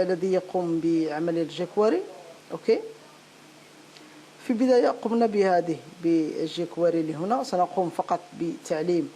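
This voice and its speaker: A woman with a lowish, mellow voice teaches a software tutorial step by step. She speaks off the cuff, haltingly, often repeating a phrase while looking for the next word. There is some noise behind her on a home-made recording. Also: poor audio. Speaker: programming tutorial instructor. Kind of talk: unscripted step-by-step screencast tutorial.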